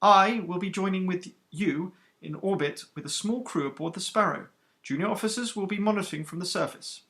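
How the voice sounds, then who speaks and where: A man's voice: high-pitched, brisk, bright, forceful tone, pitched above the performer's own voice. A man voicing a stern, commanding woman starship captain with a clipped alien accent. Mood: softer. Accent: stern alien Celestian accent. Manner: clipped and authoritative.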